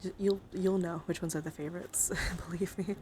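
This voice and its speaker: Soft, whispery woman streaming ASMR, noisy background. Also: on the low side, fairly even intonation, a little fast. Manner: soft-spoken, often slipping into whispers. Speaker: streamer, host of a live card-collection stream. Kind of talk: ASMR, casual live show-and-tell with chat. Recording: clear background noise and handling sounds.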